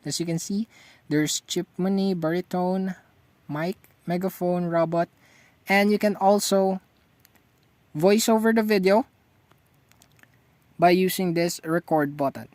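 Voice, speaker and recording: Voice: medium pitch, measured pace, pauses often, a little mellow, no echo. A tutorial host narrating step-by-step instructions for using a phone app.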